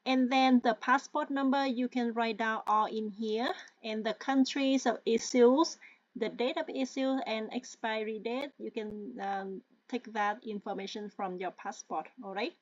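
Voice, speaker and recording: A woman talks through a tutorial with patient, hesitant pacing and frequent check-ins with her listener. Her voice sits fairly high and sounds dry and close, with no room around it. The recording itself is dull and a little lo-fi. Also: fairly even intonation, measured pace, dry recording. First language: Vietnamese. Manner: hesitant, with many fillers. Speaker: tutorial presenter. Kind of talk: step-by-step screen-share walkthrough.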